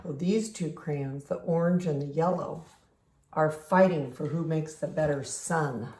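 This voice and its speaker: Older female read-aloud host, grandmotherly teacher, slightly low mellow voice, echoey home recording. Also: measured pace. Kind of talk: children's read-aloud with explanatory asides. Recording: roomy, echoing home recording.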